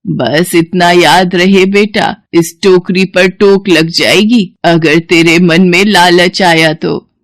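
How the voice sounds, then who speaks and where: Woman voicing a slow, kindly old granny. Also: on the low side, steady tone, dry recording, studio quality. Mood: kindly, advising. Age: older. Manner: slow, drawn-out, blessing-giving speech. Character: kindly old village granny with magical gifts, gently pleading.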